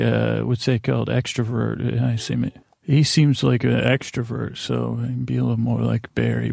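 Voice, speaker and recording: A man hosting a bedtime podcast in a meandering, halting monologue, often stammering and interrupting himself in a self-deprecating way. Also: medium pitch, vocal fry, darkish tone, no echo, clean studio sound. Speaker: podcast host.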